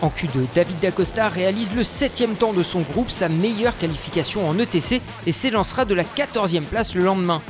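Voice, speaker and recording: A man narrating a motorsport documentary in a steady, scripted voice-over. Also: high-pitched, a little fast, few pauses. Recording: voice-over with music underneath.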